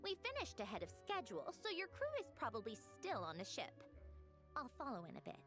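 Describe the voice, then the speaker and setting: A guarded young adventurer, calm and wry, slightly high voice, game score behind. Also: female voice, fairly quick, faint music behind. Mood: cool. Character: guarded, self-assured young woman adventurer.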